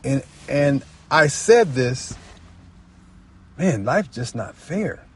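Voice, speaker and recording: A man talking casually and reflectively as a guest storyteller, earnest and halting, often repeating phrases for emphasis. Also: medium pitch, very animated, breathy edge. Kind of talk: casual, reflective personal talk.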